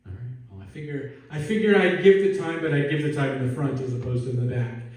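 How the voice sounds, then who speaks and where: Male pastor, mellow warm tone, casual and self-deprecating, very echoey church recorded from a distance. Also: medium pitch, fast. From Netherlands. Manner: casual, self-deprecating, asks the congregation questions. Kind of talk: conversational sermon. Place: church sanctuary. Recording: echoey church hall from afar.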